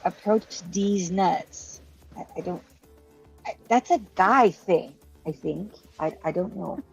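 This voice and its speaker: A woman's voice: on the low side, slow pace, vocal fry, breathy edge, muffled recording, faint music behind. A woman co-hosting a casual chat, chatty and giggly, trailing off when she is unsure. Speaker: co-host.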